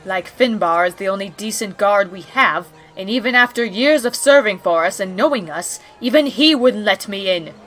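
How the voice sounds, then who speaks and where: An indignant pony princess, played by a woman, talks in a medium-pitched voice. She is the blunt, scolding little sister of an audio drama, full of sarcasm and exasperated emphasis. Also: animated, moderate pace, hardly any pauses, a bit bright, soft background music. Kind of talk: scripted fan audio drama acting.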